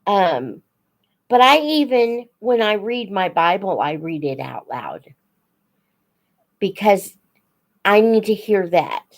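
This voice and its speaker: A woman hosting a casual live chat, unhurried and thinking aloud as she talks to her viewers. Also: mid-range voice, sing-song. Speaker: host of a live book Q&A, teacher-like reader.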